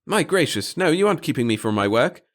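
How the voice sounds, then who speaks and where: Man playing a fussy, high-voiced pompous little clerk. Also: big pitch swings, fast, a bit bright. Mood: fussy, self-important. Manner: flowery, babbling, obsequious, pompous phrasing. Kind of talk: audiobook character voice in a sci-fi short story.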